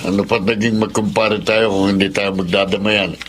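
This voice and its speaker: An actor with a Filipino accent playing a tipsy, chummy, generous drinking buddy in a radio drama. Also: male voice, on the low side, flat delivery, moderate pace, a bit bright, close to an everyday voice. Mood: tipsy, jovial. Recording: clear background noise.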